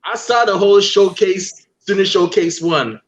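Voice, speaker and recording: A young man from Guyana hosting a casual interview, animated and playful, talking in easy slang. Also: high-pitched, normal speed, highs cut off, slight background noise. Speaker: podcast host.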